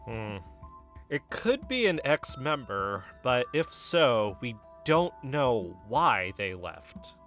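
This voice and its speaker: A man's voice: mid-range voice, sing-song, slow, a bit bright, faint music behind. A man playing a guarded, argumentative investigator in a scripted podcast drama. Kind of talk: scripted audio drama dialogue.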